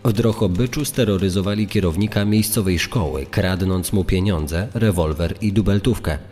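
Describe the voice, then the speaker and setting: A man's voice: low voice, moderate pace, darkish tone. A man reading scripted true-crime narration as a narrator. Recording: long hall reverb. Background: music.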